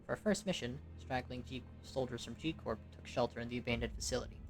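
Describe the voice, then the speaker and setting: A woman's voice: very deep voice, fast. A woman reading scripted game story narration as an amateur voice actor, recorded at home. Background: music.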